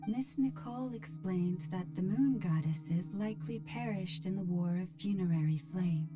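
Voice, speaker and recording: A woman's voice: fairly low pitch, moderate pace, music in the background, lightly acted. An actress playing a polite, earnest young woman in a video game, courteous and reassuring in manner.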